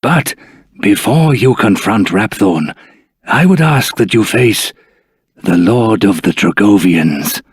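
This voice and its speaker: An actor voicing a dignified elder of a dragon race in human form, speaking in a formal, courteous, earnest and husky voice for a fantasy video game. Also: male voice, fairly low pitch, lively intonation, slow pace, no room sound, clean studio sound, exaggerated voice. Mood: earnest pleading.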